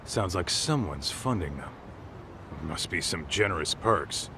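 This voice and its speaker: An actor playing a rough, foul-mouthed, streetwise sidekick in a video game dub, talking bluntly. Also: male voice, low-pitched, animated, unhurried, background noise. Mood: gruff, irritated. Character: rough, foul-mouthed male tough guy, detective's loyal sidekick.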